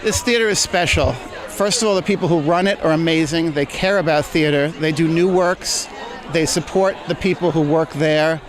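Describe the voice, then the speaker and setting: A man with a fairly high voice talks matter-of-factly about a theater he supports. He is interviewed outdoors at a gala, with a loud crowd chattering behind. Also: moderate pace. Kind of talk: red-carpet interview.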